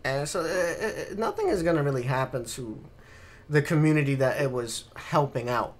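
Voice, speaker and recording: A man's voice: fairly high pitch, normal speed. A man co-hosting a casual gaming podcast, explaining things in an informal, sweary way. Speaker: podcast co-host.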